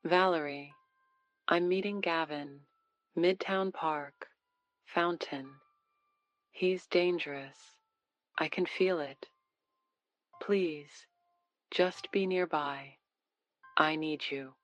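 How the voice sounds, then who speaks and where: Slow, low-voiced troubled noir heroine played by a woman. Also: dull audio. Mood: fearful, pleading. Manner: halting, short dramatic phrases. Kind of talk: noir radio drama acting.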